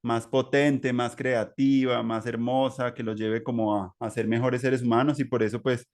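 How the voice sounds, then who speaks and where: A Colombian man with a mid-pitched voice hosts a conversational interview. He speaks reflectively, circling through long, halting phrases with many small filler words. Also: level tone, a little mellow. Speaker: interview host.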